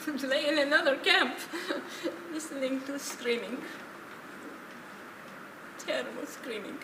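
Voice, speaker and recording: An actress on stage playing an elderly widow and survivor, wounded but enduring, who speaks plainly and with deliberate emotion. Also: female voice, on the high side. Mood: grave, haunted. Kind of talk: stage play acting. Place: theatre stage, distant mic. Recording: echoey stage from far off, noisy.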